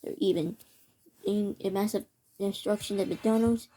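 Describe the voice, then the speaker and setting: A woman's voice: medium pitch, steady tone, warm tone, rough recording. A woman reading a homemade emergency alert broadcast, stumbling and restarting often. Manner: halting, stumbling over words. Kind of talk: amateur mock emergency broadcast narration.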